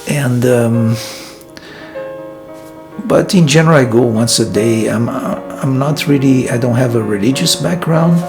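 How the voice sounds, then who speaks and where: Man for a reflective vlog, gravelly, unhurried delivery, soft music underneath. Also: medium pitch. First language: Portuguese. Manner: thoughtful, hesitant, many pauses and fillers. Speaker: filmmaker and vlog host. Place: his room in a monastery.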